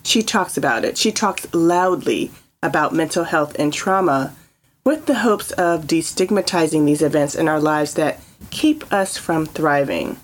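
A woman hosting a casual interview, enthusiastic and quick to laugh. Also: low-pitched, poor audio. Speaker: interview host.

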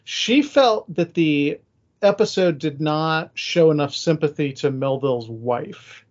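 A man's voice: fairly high pitch, lively intonation, takes it slowly. A man hosting a podcast in casual, conversational discussion, plain-spoken, recorded on slightly dull, lo-fi audio. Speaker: podcast host. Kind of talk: casual chat about a radio drama.

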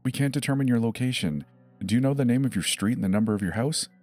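A man performs a calm emergency dispatcher in a horror story, with a medium-pitched voice. He is methodical and reassuring, pressing with short questions. The recording is dry, with faint music behind. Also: quick pace, no echo, close to an everyday voice. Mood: insistent, probing. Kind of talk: dramatized horror story narration.

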